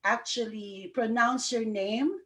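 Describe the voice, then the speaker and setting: A woman's voice: on the high side, fairly even intonation, slow, a bit bright. A woman hosting a live online talk show, bubbly and laughing often, with many fillers. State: sleepy. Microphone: video call.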